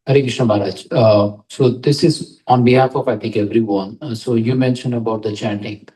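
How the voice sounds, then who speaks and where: A man's voice: mid-range voice, steady tone, a little fast, warm tone, far from the mic, poor audio. A man from the audience politely asking a rambling question at a lecture.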